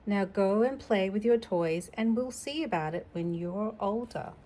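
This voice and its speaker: Woman reading as a gentle, unhurried storybook father. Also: mid-range voice, slight background noise, close to an everyday voice. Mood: gently refusing. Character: kindly busy father of a little girl in a storybook.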